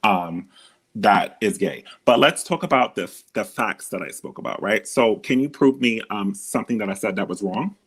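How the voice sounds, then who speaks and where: A man whose voice sounds feminine and a touch husky sits fairly low in pitch as he hosts a heated live debate. He keeps breaking in, repeating "hold on" over and over to win back the floor. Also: animated, moderate pace, a bit bright. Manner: interrupts often, repeats "hold on" to take the floor. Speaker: host.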